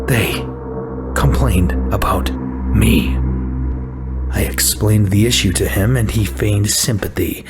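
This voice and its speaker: A man voicing a neurotic, brooding office worker in a dramatic first-person horror narration, deliberate and often dropping to a breathy half-whisper. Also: fairly low pitch, close to an everyday voice. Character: neurotic male office worker unraveling over other people's noises. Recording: loud music under the voice. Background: music.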